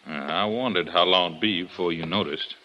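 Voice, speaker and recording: A man's voice: low voice, a little fast, highs cut off, noisy background, close to an everyday voice. An actor playing a blunt, sardonic male police lieutenant in a 1950s radio drama.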